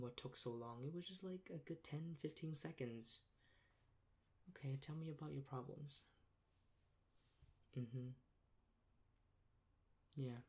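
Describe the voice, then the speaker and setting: A young man in a soft-spoken ASMR role play as a rude emergency dispatcher, talking in a deadpan, sarcastic and dismissive way. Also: mid-range voice. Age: young adult. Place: small plain room indoors. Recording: clear background noise, keyboard clicks.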